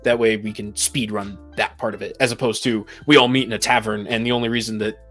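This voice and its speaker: A man's voice: fairly low pitch, steady tone, fast, a bit bright, soft background music. A man co-hosting a casual podcast discussion with a wry, joking way of talking. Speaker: podcast co-host. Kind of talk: casual discussion of tabletop roleplaying.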